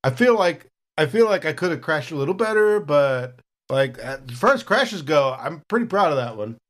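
A man acts out a laid-back space marine pilot for a tabletop game. He banters in a rambling, folksy way, his fairly high voice moving up and down freely. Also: very animated, moderate pace, fairly bright tone. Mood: joking banter.

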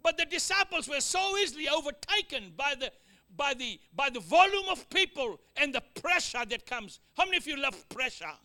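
A man with a Fijian accent preaching a sermon, calling out amens to the congregation, mixing joking asides with exhortation and swinging from loud to quiet. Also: extremely high pitch, very animated, moderate pace. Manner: exhorting, with frequent amens and call-outs to the congregation.

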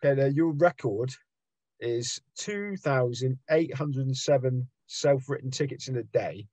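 A man interviewing casually, often halting and rephrasing his questions. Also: mid-range voice, darkish tone, slightly rough, no echo.

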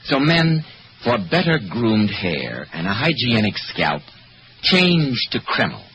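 A man's voice: medium pitch, huge pitch swings, takes it slowly. A man announcing in a polished, emphatic, scripted 1940s radio sponsor style. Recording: muffled, noisy old radio broadcast.

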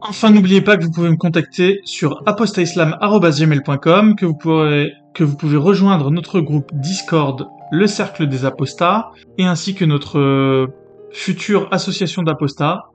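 A man's voice: fairly high pitch, normal speed, faint music behind. A man hosting a podcast interview, talking hesitantly with many fillers and restarts. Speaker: podcast host. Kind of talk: casual interview.

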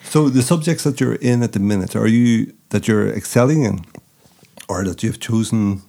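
A man's voice: on the low side, normal speed, gravelly, dark tone. A middle-aged man hosting a casual interview, rambling and thinking aloud with many restarts. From not from the Republic of Ireland. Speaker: podcast host.

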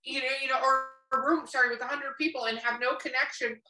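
A woman's voice: high-pitched, steady tone, fast, sharp tone. A woman of around fifty chatting casually about books, opinionated and rambling, swearing freely. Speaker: podcast co-host. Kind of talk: casual book chat. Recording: roomy, echoing sound.

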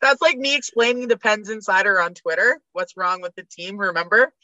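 Bright, blunt woman talking hockey. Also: medium pitch, brisk, no echo, rough recording. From Canada. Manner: animated, laughs often, blunt opinions. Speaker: podcast guest. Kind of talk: casual sports chat.

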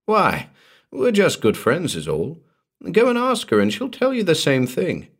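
A man voicing an irritable, alarmed carnival tough with a forceful, demanding delivery in a dramatic story. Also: a little high, huge pitch swings, a little slow, husky, voice pushed up, exaggerated voice. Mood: vehement denial. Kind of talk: dramatic audiobook character reading.

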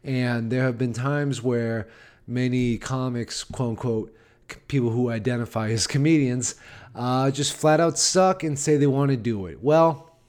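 American dude podcasting on his own, comedian type, medium pitch, loose rambly chat, kinda self-deprecating. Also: unhurried, a little mellow. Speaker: podcast host and stand-up comedian. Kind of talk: casual solo podcast chat.

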